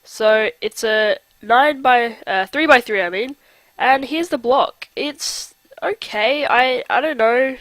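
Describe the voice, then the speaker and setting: A man's voice: very high voice, takes it slowly, fairly bright tone, no room sound. Nasal young man chatting over gameplay. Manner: chatty, rambling with fillers. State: nasal from a cold. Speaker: let's play host. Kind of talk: casual gameplay commentary.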